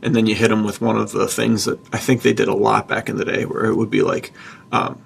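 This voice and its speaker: A man's voice: lowish voice, little pitch movement, a little fast, gravelly, slight background noise. A man co-hosting a podcast, talking casually with an enthusiastic, slangy, buddy-like manner. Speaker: podcast co-host and writer.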